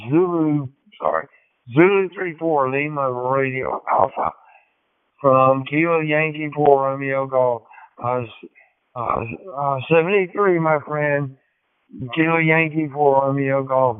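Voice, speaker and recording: An American man making a polite, informal ham radio contact, speaking haltingly over a radio link. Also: a little high, clean tone, dry recording. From Georgia, United States. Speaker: amateur radio operator. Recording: tinny narrowband digital radio transmission.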